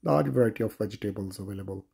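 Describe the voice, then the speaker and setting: A man's voice: lowish voice, racing, creaky, mellow, breathy edge. A man vlogging a walk through a large grocery store, plainly listing produce and prices. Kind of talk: grocery store walkthrough narration.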